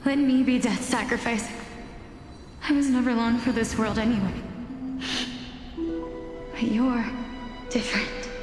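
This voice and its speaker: Woman playing a sorrowful young sister, high and echoing. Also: steady tone, measured pace, long pauses, natural voice. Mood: pleading, sorrowful. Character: sorrowful young sister defying a cruel fate. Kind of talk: dramatic video game voice acting. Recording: echoey hall reverb with music behind.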